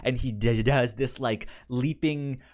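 A man's voice: mid-range voice, fairly even intonation, measured pace, a little mellow. A man co-hosting a casual film discussion, stammering and repeating the first sounds of words. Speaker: podcast co-host.

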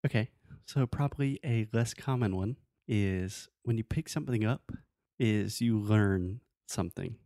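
A man's voice: lowish voice, measured pace, warm tone, no echo. Casual male English teacher, dry close sound. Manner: explains with everyday examples, checking understanding. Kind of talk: casual conversational language lesson.

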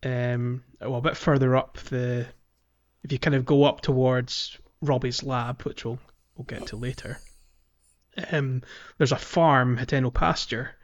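A man's voice: mid-range voice, fairly even intonation, measured pace, muffled recording. A man co-hosting a gaming podcast, talking casually and informally with a hesitant delivery. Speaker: podcast co-host. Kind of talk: casual gaming discussion, recapping a video game.

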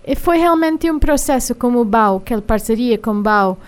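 A woman with an American accent, a singer speaking haltingly as a radio studio guest, pausing often with filler sounds. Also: fairly high pitch, lively intonation. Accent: American English. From United States. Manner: hesitant, many filler sounds while finding words. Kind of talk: radio interview.

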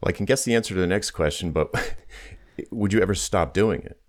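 A man with a low, deep voice hosts an interview. He asks his questions in a casual, conversational style. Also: a little fast. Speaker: interviewer, host.

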